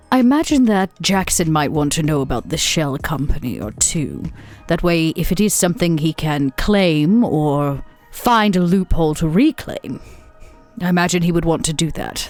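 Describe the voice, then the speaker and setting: Lady as a composed wry vampire woman in a roleplaying game, lowish voice, faint music behind. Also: darkish tone.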